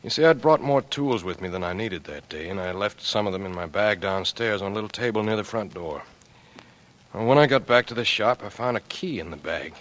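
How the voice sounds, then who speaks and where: Young down-on-his-luck burglar in a 40s radio play, lowish voice, guilty, tired, halting, resigned. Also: animated, fairly bright tone. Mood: confessional, subdued. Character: guilt-ridden young out-of-work electrician turned burglar, weary and resigned. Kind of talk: 1940s radio acting.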